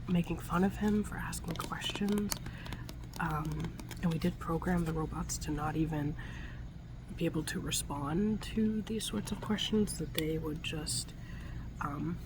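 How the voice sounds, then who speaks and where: A woman whispering breathily in a soft, soothing, halting way as she improvises an ASMR roleplay as a gentle scientist. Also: lowish voice, background noise. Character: gentle scientist tending a robot. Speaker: ASMR performer.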